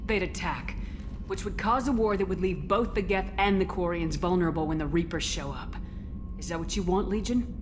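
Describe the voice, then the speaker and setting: A woman's voice: on the low side, fairly quick, background noise, natural voice. A woman playing a commanding military officer in a video game, direct, authoritative and controlled. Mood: stern. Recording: music behind the voice.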